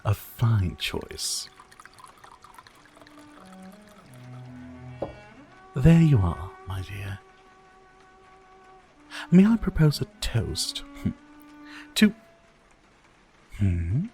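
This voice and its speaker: A man playing a suave, sadistic vampire host in an intimate ASMR roleplay, courtly and mocking, with seductive drawn-out pauses. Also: lowish voice, big pitch swings, vocal fry, dark tone, soft background music, heavily acted. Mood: playful, menacing. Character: suave sadistic male vampire host toying with his prey.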